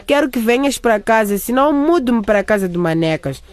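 An actress in a radio drama playing a sulky, resentful, confrontational teenage daughter. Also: female voice, on the high side, lively intonation, fast, lightly acted.

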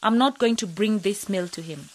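A woman's voice: medium pitch, moderate pace, a little mellow, natural voice. A woman playing an earnest, exasperated young daughter protesting in a radio drama. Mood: defiant. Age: young adult.

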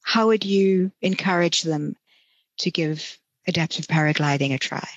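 Clear-voiced woman hosting a podcast. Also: deep voice, normal speed, dry recording, lo-fi sound. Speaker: podcast host. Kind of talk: conversational podcast interview.

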